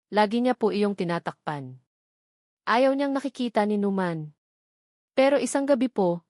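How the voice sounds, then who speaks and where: A woman's voice: mid-range voice, darkish tone, no room sound, clean studio sound, natural voice. Woman voicing a timid, deferential young housemaid. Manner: hesitant, deferential. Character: timid, fearful young housemaid, humble and respectful.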